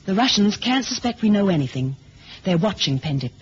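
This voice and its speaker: An actress playing a self-assured American secret courier in a 1970s radio drama, cool and direct, with an American accent. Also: female voice, medium pitch, sing-song, fairly quick, husky. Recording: dull lo-fi old radio recording, hiss.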